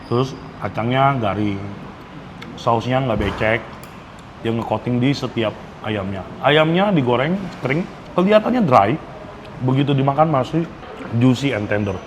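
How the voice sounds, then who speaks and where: A man with a Jakarta Indonesian accent gives a casual, enthusiastic food review, speaking slangily and mixing in English phrases. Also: medium pitch. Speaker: food vlogger. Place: restaurant. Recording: clear background noise, restaurant ambience.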